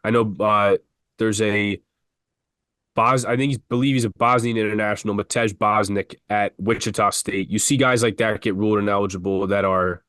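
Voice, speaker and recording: A man with a fairly low voice chats casually as co-host of a basketball podcast. He rambles and restarts his sentences as he goes. The sound is dry, with no room echo. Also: fairly even intonation, moderate pace, fairly bright tone, clean tone. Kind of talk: casual sports talk.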